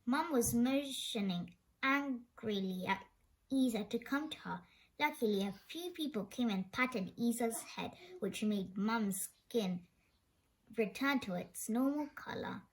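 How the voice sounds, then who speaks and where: A woman's voice: a little high, a little slow, rough recording. A woman reading a children's story aloud expressively, now and then stumbling over a word. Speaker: storybook reader.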